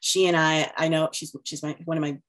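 Woman playwright, low voice, warm, enthusiastic, headset mic. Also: level tone, a little fast. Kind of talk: casual video call conversation. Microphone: headset on a video call.

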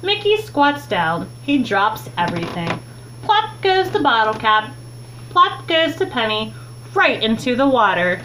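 A young adult woman reads a children's book aloud with expressive, deliberate phrasing, recorded in a small room at home on a webcam mic. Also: on the high side. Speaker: teacher reading a children's book. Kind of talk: read-aloud storytime narration. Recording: clearly noisy, humming home recording.

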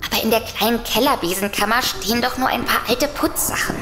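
A woman's voice: fairly high pitch, fairly quick, a bit bright, lightly acted. An actress playing an uneasy but matter-of-fact young woman in an audio drama. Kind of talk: German audio drama acting. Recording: echoey reverb, faint music.

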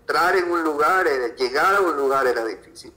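A man's voice: a little high, expressive, brisk, bright, forceful tone, low-quality recording. A man with an Ecuadorian accent, answering conversationally as an interview guest. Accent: Ecuadorian Spanish. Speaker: podcast guest, freelance photographer.

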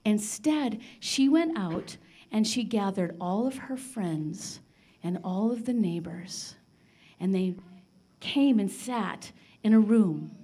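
Animated older woman telling a story. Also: mid-range voice, breathy edge. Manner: theatrical, expressive, with dramatic pauses and sound effects. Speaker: storyteller on a children's radio show. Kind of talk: live oral storytelling for children. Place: large library room, live before a seated audience. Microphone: handheld microphone.

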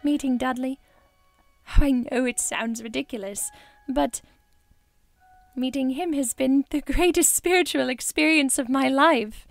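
A woman plays a rich older widow in an audio drama. Her voice is high and theatrical, earnest and full of feeling, with faint music underneath. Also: unhurried, vocal fry, no echo. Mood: joyful, effusive. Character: wealthy older widow, wistful, emotional and effusive.

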